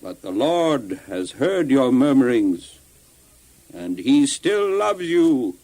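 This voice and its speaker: An actor playing a stern, solemn prophet who addresses his people in a declamatory, deliberate way in a children's audio Bible drama. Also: medium pitch, big pitch swings, darkish tone. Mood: solemn, proclaiming.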